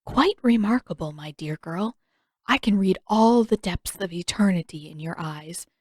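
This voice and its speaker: A woman's voice: fairly low pitch, lively intonation, normal speed, dry recording, studio quality. A woman voicing an older female fortune teller who speaks in grand, florid, disdainful pronouncements. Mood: mystical awe. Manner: lofty, florid, solemn pronouncements.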